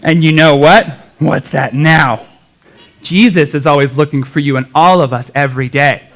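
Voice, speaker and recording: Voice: mid-range voice, normal speed, fairly bright tone, lightly acted. A performer voicing puppet characters in a children's church Christmas show, exclaiming and addressing the children in an exaggerated way. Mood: earnest preaching.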